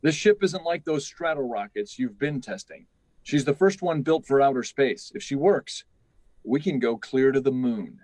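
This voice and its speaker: A man's voice: mid-range voice, expressive, normal speed, a little mellow, no echo, lightly acted, low-quality recording. A man playing a careful, insistent space project chief in a 1950s radio drama style. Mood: businesslike, urgent. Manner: insistent, instructing. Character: stern, careful male test project chief.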